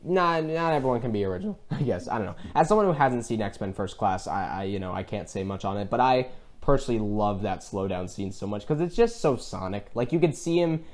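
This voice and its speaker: Male podcast co-host, casual film-chat style, animated and rambling storyteller. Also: on the low side, fairly quick.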